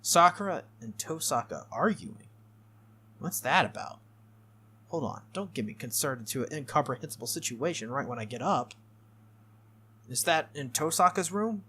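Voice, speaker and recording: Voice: medium pitch, lively intonation, normal speed, long pauses, bright, forceful tone, husky. A game reader voicing an earnest, easily flustered teenage schoolboy from an anime visual novel, apologetic and exclaiming. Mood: puzzled.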